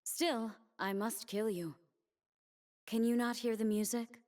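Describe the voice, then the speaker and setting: A proud, measured warrior woman. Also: a little high, fairly even intonation, darkish tone. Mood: haughty, teasing. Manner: solemn, defiant, cutting. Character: proud, stern young woman warrior, a blade dancer defending her homeland. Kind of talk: video game character voice lines.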